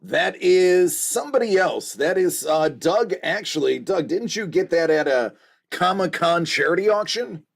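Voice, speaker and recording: A man's voice: high voice, expressive, measured pace, creaky. A man hosting a casual livestream, joking along and reading out viewers' chat messages. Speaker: livestream host.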